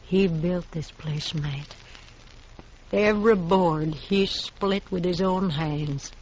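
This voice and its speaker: A woman's voice: fairly low pitch, slow pace, a little mellow. An actress in a 1950s radio western playing a stubborn widowed frontier woman defending her homestead, with a folksy drawl. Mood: wistful. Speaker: actor in radio drama. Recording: muffled old radio recording, faint hiss.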